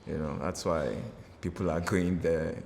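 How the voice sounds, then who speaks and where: A Nigerian man giving measured, analytical interview answers. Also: very deep voice, steady tone, vocal fry, a little mellow. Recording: roomy reverb, faint hiss.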